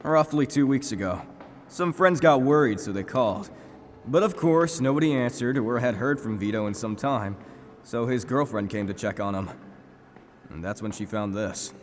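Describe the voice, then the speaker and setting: A man's voice: mid-range voice, moderate pace, natural voice. An actor playing a jaded male police detective in an audio drama, plain-spoken and matter-of-fact. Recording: long hall reverb, background ambient noise.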